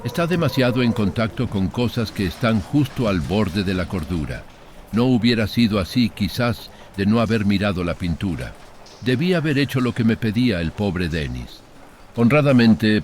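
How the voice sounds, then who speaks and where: A man's voice: fairly low pitch, a little mellow, slight background noise. A man narrating a horror story in a dramatic first-person audiobook style.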